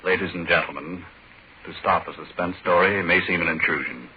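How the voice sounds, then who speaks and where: A man hosting a 1940s radio drama, making formal, measured announcements in a husky voice. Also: deep voice, steady tone, a little hiss or hum. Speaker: radio show host. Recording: dull 1940s radio recording.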